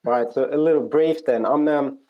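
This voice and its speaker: A man with a Swedish accent, speaking conversationally as an interview guest, in a reflective, hesitant way with frequent pauses. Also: medium pitch, lo-fi sound. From rural Sweden, outside Norrköping. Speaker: interview guest, non-profit country manager. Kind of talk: conversational personal account in an interview.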